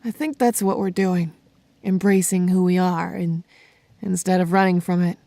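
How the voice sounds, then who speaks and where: An actress playing a wry, plucky young heroine with a casual manner in a radio drama. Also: female voice, on the low side, steady tone, normal speed, creaky, warm tone, dry recording. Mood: reassuring.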